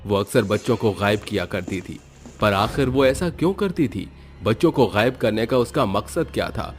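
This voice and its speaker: A man's voice: lowish voice, expressive, moderate pace. A man narrating a scripted dramatic story. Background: background music.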